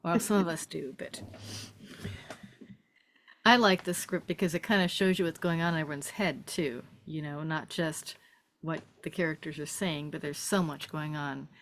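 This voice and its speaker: A woman's voice: deep voice, moderate pace, a bit bright, a little hiss or hum. A woman narrating and hosting a script table read, moving between read stage directions and casual chat.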